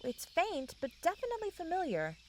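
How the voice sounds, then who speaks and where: An eager young fairy woman in a fantasy audio comedy. Her high voice moves up and down freely, with clear outdoor forest sounds behind it. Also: wildly swooping pitch, a little fast, background noise, full character voice. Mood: curious. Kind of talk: fantasy comedy audio drama with inner narration.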